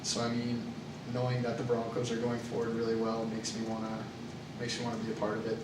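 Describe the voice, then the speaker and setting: Young male student as nervous job applicant, monotone and halting, recorded from across a noisy room. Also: medium pitch. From Canada. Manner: hesitant, with frequent uh and um fillers. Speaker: job candidate in a mock interview. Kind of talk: student role-play job interview. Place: classroom. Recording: echoey room, far from the mic, noisy.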